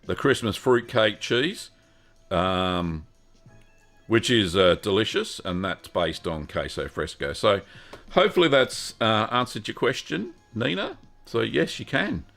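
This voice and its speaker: A man's voice: on the low side, very animated, unhurried, vocal fry, fairly bright tone, soft background music. An older man hosting a live-stream Q&A, talking in a rambling, friendly way with lots of ums. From Australia. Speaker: host answering cheese-making questions.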